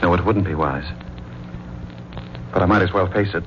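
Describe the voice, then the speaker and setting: An actor playing a guilt-ridden, middle-aged married man with an American accent, speaking in a measured, confiding 1940s radio style. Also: low voice, slight background noise, natural voice. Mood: hesitant, tender. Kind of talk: 1940s radio mystery acting, first-person narration and dialogue. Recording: muffled vintage radio broadcast.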